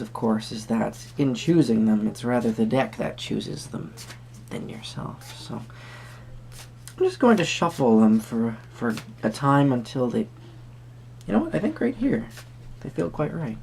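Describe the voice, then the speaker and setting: A performer plays an eccentric Renaissance fair tarot reader in an improvised roleplay. The pitch swoops up and down through hesitant, theatrical phrases addressed kindly to the listener. A faint noisy hum sits behind the voice. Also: gravelly, darkish tone. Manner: hesitant, theatrical, halting phrasing with sudden emphasis. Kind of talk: improvised first-person roleplay addressed to the viewer.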